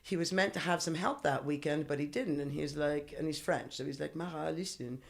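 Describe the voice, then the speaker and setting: A woman's voice: sits low, brisk, creaky. A woman guest on a podcast, telling stories in casual chat with lots of swearing and laughter. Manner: profane, laughing, animated storyteller.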